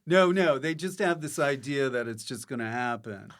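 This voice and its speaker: A man's voice: mid-range voice, animated, normal speed, a bit bright. A man chatting casually as a podcast host, interjecting with teasing remarks and questions.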